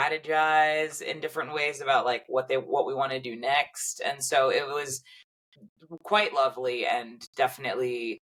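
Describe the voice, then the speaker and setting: A woman's voice: low-pitched, a bit bright, rough recording. A woman who performs in an actual play, talking casually as an interview guest.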